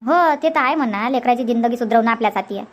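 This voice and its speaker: A woman's voice: fairly high pitch, quick pace, natural voice. A woman voicing an opinionated, chatty village aunt in a cartoon comedy, with a rural Vidarbha Marathi accent.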